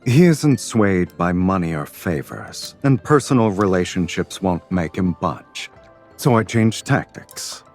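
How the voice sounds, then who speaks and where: Game romance lead: low, expressive, confident, unhurried and teasing. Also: male voice, soft background music. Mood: composed explaining. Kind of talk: scripted video game dialogue.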